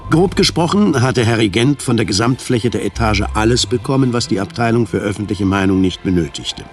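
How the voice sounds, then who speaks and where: A man narrating a scripted radio drama in a measured, literary storytelling manner. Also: mid-range voice.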